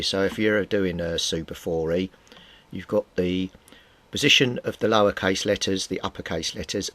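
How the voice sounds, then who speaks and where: A man's voice: low-pitched, fairly bright tone. A man giving an informal hands-on repair tutorial, explaining methodically step by step. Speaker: presenter of a hobby repair tutorial.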